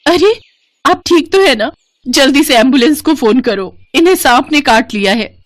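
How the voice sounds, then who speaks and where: A woman's voice: sits high, lively intonation, moderate pace, no room sound, pitched above the performer's own voice. A woman voicing an earnest, emotional young daughter-in-law in a family storybook drama. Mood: panicked. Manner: earnest, pleading.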